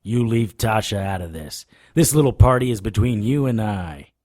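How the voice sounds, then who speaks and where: Man as a low-voiced, hard-boiled occult hero. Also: animated, normal speed, a little mellow, natural voice. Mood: taunting defiance. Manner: flippant, defiant, hard-boiled. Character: cocky, wisecracking male supernatural investigator hero. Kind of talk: audio drama acting with first-person narration.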